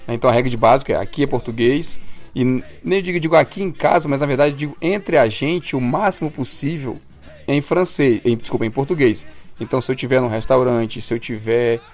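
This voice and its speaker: A man's voice: mid-range voice, a little fast, darkish tone, soft background music. A Brazilian man co-hosting a casual podcast, explaining things at length with a few restarts and corrections. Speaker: podcast co-host.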